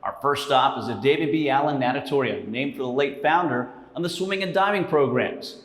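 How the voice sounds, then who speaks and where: A man hosting a university sports show, narrating scripted openings and interviewing a coach in a smooth, upbeat presenter manner. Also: mid-range voice, a little fast.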